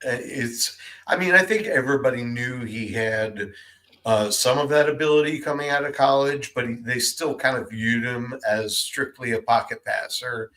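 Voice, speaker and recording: A man's voice: mid-range voice, moderate pace, sharp tone. Chatty man hedging with ums. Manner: chatty, hesitant, many ums and you-knows. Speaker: fantasy football podcast co-host. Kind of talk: casual sports analysis chat.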